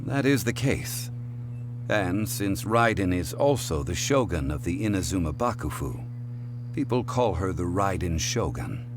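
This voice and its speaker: A man playing an ancient god living as a calm gentleman in a video game, speaking in a formal, measured, solemn manner. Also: low voice, noisy background. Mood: grave, informative. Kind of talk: video game character acting.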